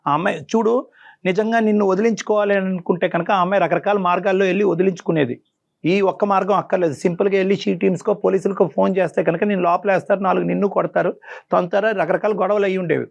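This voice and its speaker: Fast-talking, gravelly-voiced male counselor. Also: high-pitched, steady tone. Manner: earnest, persuasive, pleading repeatedly. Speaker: doctor counseling a caller. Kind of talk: on-air counseling talk. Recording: dull lo-fi sound.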